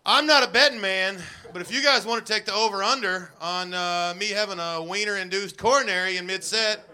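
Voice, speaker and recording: A man's voice: sits high, a little fast, sharp tone, smooth, low-quality recording. A man with a wife and kids doing a self-deprecating stand-up set on a live stage. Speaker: stand-up comedian.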